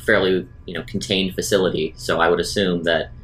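Man, low voice, quick pace, tabletop player, casual chat, repeats words while reasoning. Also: fairly even intonation, fairly bright tone, a little hiss or hum, rough recording.

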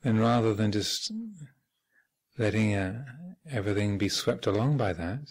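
A man speaking as a meditation teacher, giving measured guided instruction with thoughtful pauses and gentle phrasing. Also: lowish voice. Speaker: Buddhist monk and meditation teacher leading a retreat. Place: meditation hall at a retreat center.

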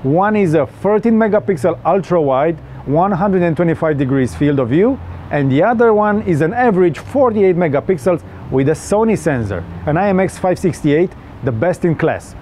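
A man's voice: fairly high pitch, lively intonation, moderate pace, a little mellow, a little hiss or hum. A young man with a Romanian accent, giving an earnest, slightly stilted scripted review of a phone with small jokes. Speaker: tech reviewer.

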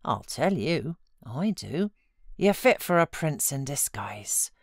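A woman's voice: low-pitched, big pitch swings, dry recording, clean studio sound, exaggerated voice. A British woman voicing a motherly housekeeper who coaxes and scolds frankly in a storybook reading. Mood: earnest encouragement. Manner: brisk advice, coaxing and chiding.

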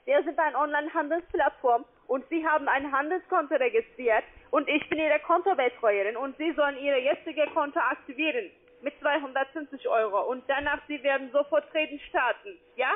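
A woman with a foreign accent, speaking halting, ungrammatical non-native sentences as a call-centre agent on a sales call. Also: high voice. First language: not German. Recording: narrow tinny phone line.

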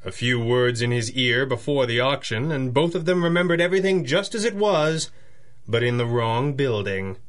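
An actor playing a composed, gentlemanly superhero in a 1930s radio drama, with a measured, formal and dry manner. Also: male voice, mid-range voice, close to an everyday voice. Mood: satisfied, assured. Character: composed, gentlemanly male masked superhero, the Red Panda.

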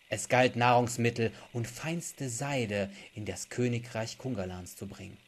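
A man's voice: mid-range voice, a little hiss or hum. A young adult man, an author reading aloud and chatting for his video blog. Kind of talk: book reading and casual vlog talk. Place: small indoor room.

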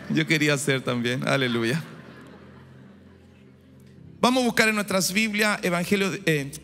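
A fervent pastor in his forties, a man from Chile, preaches into a handheld mic with a high voice. His tone is bright and forceful, rising to shouts. The sound carries a long hall reverb, with soft music underneath. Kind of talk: sermon. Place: large church stage before a big congregation. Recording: echoey hall with music behind.

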